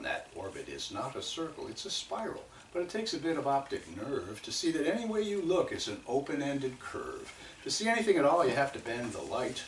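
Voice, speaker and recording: An older man reading his own poetry live, in a measured, rhythmic delivery with emphatic phrases. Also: medium pitch. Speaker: poet reading own work. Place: indoor live poetry reading, room echo. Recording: roomy, noisy recording from a distance.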